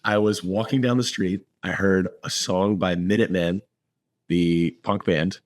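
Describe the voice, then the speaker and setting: A man with a very deep voice that has gone hoarse, chatting casually on a podcast. He spins lively stories and breaks into laughter often. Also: unhurried, no echo. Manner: animated storytelling, laughs often. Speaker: podcast co-host. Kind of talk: casual chat.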